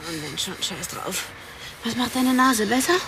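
Casting: tough female streetwalker, slangy, commanding, protective of her friend, fairly high voice, radio drama. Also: expressive, moderate pace, background noise. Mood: bossy, impatient. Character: brash, foul-mouthed female street prostitute, bossy and protective.

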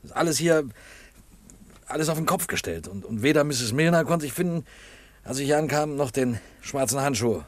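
An actor playing a police inspector in a German radio mystery, formal and polite, brisk but rattled and urgent. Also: male voice, medium pitch, expressive, close to an everyday voice. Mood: shaken. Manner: formal, polite, hesitant when shaken.

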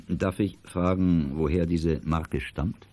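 An actor playing a courteous, middle-aged auction house director in a children's audio drama, speaking in a formal, measured way. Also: male voice, deep voice, steady tone, dark tone. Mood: courteous, probing. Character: courteous, businesslike male auction house director, discreet and earnest.

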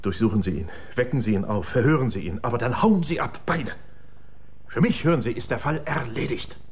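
A man plays an imperious, well-to-do gentleman in a radio crime drama. His mid-pitched voice swings up and down as he gives orders. He sounds irritable and guarded, stressing his words sharply. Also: lively intonation, fairly quick, slight background noise, natural voice. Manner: imperious, agitated, emphatic. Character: haughty, irritable well-to-do gentleman with something to hide.

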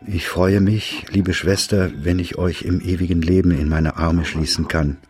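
A man's voice: low voice, steady tone, normal speed, darkish tone, faint music behind, natural voice. An actor playing a solemn, pious man reading out letters of faith and repentance in a radio play. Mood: pious, earnest. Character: solemn, pious male letter writer, penitent and admonishing.

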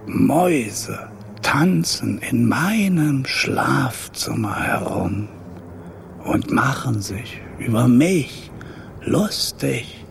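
An actor playing a huge, drowsy, grumpy old owl professor with a creaky, rasping, breathy voice in a children's radio play. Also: male voice, medium pitch, fairly bright tone, a little hiss or hum, exaggerated voice. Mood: bewildered, lamenting. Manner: slow, drawn-out, muttering, hooting interjections.

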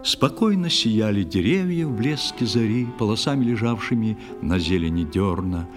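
A man's voice: lowish voice, moderate pace, in a large hall, lightly acted. An actor playing a proud, ardent young knight who tells his adventures in dramatic verse for a children's audio play. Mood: dramatic suspense. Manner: dramatic, expressive declamation. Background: faint music.

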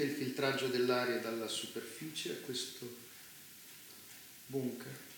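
An actor playing the courteous but condescending master of a dystopian bunker, formal and measured in a stage drama. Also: male voice, medium pitch, a bit bright. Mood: superior, explaining. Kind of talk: Italian theatre dialogue. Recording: very echoey theatre, faint noise.

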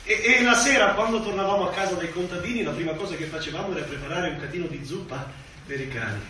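An actor playing a grandiose oil boss on stage, declaiming folksy parables with dramatic swells and drops. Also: male voice, high-pitched, quick pace, sharp tone. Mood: solemn, storytelling. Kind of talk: theatrical stage monologue. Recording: echoey noisy stage, far from microphone.

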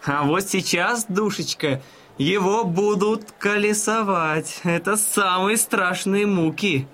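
An actor playing a smug, patronizing gentleman who explains things in a mannered way in an audio drama. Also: high-pitched, takes it slowly. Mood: smug, callous. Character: smug, condescending gentleman spectator courting a young lady.

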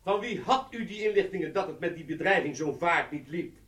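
Commission interrogator, radio drama, really high male voice, formal and insistent, roomy and off mic. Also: lively intonation, a little fast, a bit bright. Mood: probing. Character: formal probing male inquiry commission questioner. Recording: roomy, distant from microphone.

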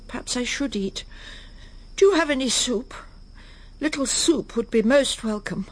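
A woman playing a prim, weary, middle-aged English lady in a radio drama, halting and polite, murmuring to herself with an English accent. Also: high voice, heavily acted. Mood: muddled, apologetic. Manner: polite, halting, breathy murmured self-talk. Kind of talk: radio drama acting, intimate monologue and dialogue.

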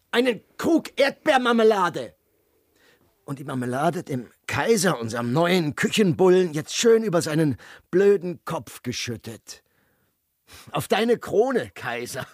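An actor in a German radio drama playing a sadistic, lecherous prison camp overseer who sneers, taunts, barks orders and wheedles. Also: male voice, high voice, dry recording, studio quality, exaggerated voice.

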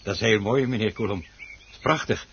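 Husky, creepily courteous plant grower. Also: male voice, on the low side, moderate pace, heavily acted. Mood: sly politeness. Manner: courteous, repeating himself. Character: eerily polite, sinister male plant grower luring a victim. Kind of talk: radio drama acting. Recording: dull old radio recording.

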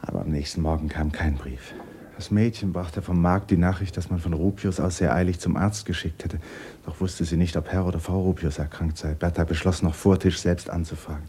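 A man narrating a literary radio drama in a solemn, measured, deliberate way. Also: deep voice, steady tone, warm tone, a little hiss or hum.

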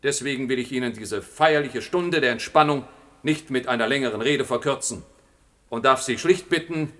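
Man acting a scheming general, middle-aged, pompous, condescending, oratorical, medium pitch, science-fiction radio drama. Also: moderate pace, fairly bright tone, natural voice. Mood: solemn, rousing.

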